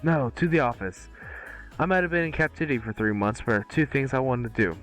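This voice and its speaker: A man's voice: medium pitch, quick pace, a bit bright, soft background music. A man reading dramatic movie dialogue casually at an amateur table read, with occasional stumbles.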